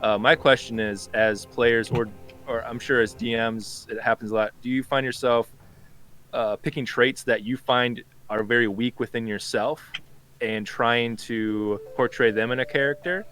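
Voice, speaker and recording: A man's voice: mid-range voice, steady tone, moderate pace, fairly bright tone, faint music behind. A man asking questions as a guest on a podcast, friendly and upbeat. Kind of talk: casual podcast discussion.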